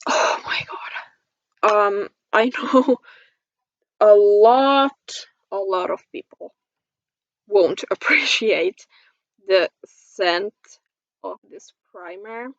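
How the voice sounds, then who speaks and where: Woman, beauty vlogger, casual chat, gushing and giggly, slow pace, dry and slightly muffled recording. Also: mid-range voice, no echo. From Finland. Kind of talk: casual product review chat to camera.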